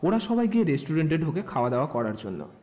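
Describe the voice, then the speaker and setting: A man's voice: fairly high pitch, big pitch swings, very fast, a little mellow. A man narrating a scripted audio story.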